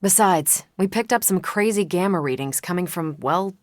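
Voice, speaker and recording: A woman's voice: on the low side, lively intonation, normal speed, no room sound, studio quality, natural voice. A woman voicing a confident, quippy adult female superhero soldier in a video game, with a casual, dryly joking, assured manner. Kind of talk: video game character dialogue.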